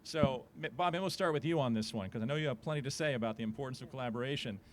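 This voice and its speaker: A man's voice: medium pitch, racing, a little hiss or hum. A man moderating a conference panel, conversational, often checking in with "right?". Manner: conversational, seeks agreement with "right?".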